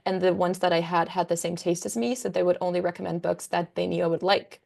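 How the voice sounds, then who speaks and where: A woman's voice: on the low side, flat delivery, quick pace, gravelly, a little mellow. A woman in her twenties, a native Danish speaker, chatting casually about books as a guest, with frequent ums and likes. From Denmark. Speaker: guest, book content creator. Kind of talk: casual conversation.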